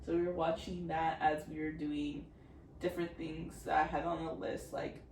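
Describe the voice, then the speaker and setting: Low-voiced giggly woman in her twenties. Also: little pitch movement, normal speed, darkish tone. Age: 20s. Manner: rambling, giggly, lots of "like". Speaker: vlogger. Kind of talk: casual chat. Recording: roomy, distant mic, background noise.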